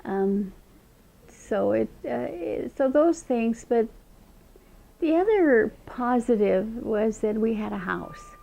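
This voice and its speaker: A woman's voice: on the high side, gravelly, warm tone, poor audio. An older woman, a state representative, unhurriedly recalling her childhood in an oral history interview. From Montana, raised in Eastern Washington. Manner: reflective, unhurried storytelling with frequent restarts.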